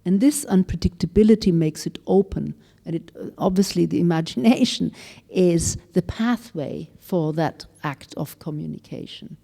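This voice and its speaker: Woman moderator, low voice, warm, mellow, measured, thoughtful, long hall reverb. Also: vocal fry. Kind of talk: panel discussion.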